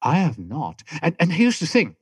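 A middle-aged man from Switzerland, a native German speaker with a mixed British and German accent, telling stories as a podcast guest in relaxed chat with frequent restarts. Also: on the high side, normal speed, husky, no room sound. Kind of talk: casual interview chat.

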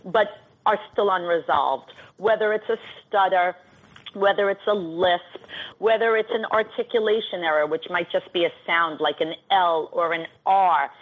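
A woman's voice: medium pitch, slightly rough, phone-quality sound. A middle-aged woman with a New York accent, a guest coach explaining at length in an articulate, list-like way. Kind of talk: radio interview.

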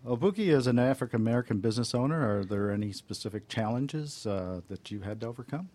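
A man's voice: mid-range voice, lively intonation, fast, mellow. A man hosting a radio interview, asking friendly, encouraging questions. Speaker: radio show host. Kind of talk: interviewing a guest.

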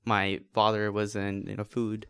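A young man from Bangladesh, a student co-founder, telling his story at a relaxed pace in an interview. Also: on the low side, a little slow, clear, resonant tone, dry recording, clean studio sound. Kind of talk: interview answers.

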